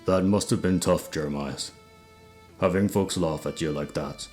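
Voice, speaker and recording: An actor playing an old, kindly, knowledgeable monster expert in an audio drama, speaking in a measured, formal way. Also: male voice, sits low, a little mellow, faint music behind, natural voice. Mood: sympathetic. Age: older. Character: old pipe-smoking male vampire expert, measured, kindly. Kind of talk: audio drama character acting.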